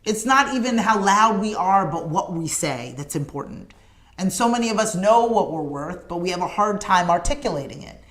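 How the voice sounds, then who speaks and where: A woman's voice: medium pitch, expressive, normal speed, a bit bright. Earnest woman hosting a morning stream. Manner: earnest, emphatic, repetitive rallying cadence; addresses viewers in comments by name. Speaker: morning show host. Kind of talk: direct-to-camera livestream appeal.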